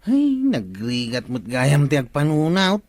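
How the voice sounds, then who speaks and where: Cheeky freeloading sidekick, slightly high voice, dry sound, Ilocano accent, radio comedy. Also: male voice, huge pitch swings, unhurried, a little mellow, no echo, exaggerated voice. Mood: teasing. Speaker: radio drama actor.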